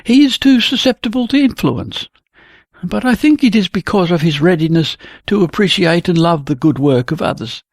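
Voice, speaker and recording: A narrator voicing a gentle, earnest young woman painter who soothes her lover. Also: medium pitch, animated, normal speed, no echo, clean studio sound, voice pushed up.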